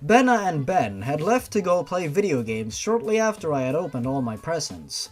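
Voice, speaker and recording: A narrator reading a first-person fan fiction story in an expressive, informal way. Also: mid-range voice, moderate pace. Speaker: story reader. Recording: music behind the voice. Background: music.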